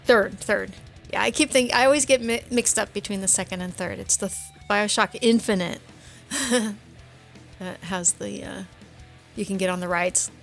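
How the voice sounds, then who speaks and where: A woman co-hosts a podcast in a loose, casual chat. Her pitch is average for a woman, and she has a giggly way of talking, often laughing through her words. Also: a little slow, creaky, a bit bright, soft background music. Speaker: podcast co-host.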